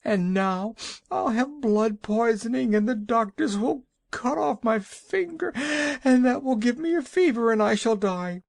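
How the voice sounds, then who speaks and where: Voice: medium pitch, animated, a little slow, vocal fry, no room sound, pitched above the performer's own voice. A reader voicing a panicky village woman who wails and sobs over tiny mishaps. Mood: sobbing. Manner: wailing, sobbing, frantic.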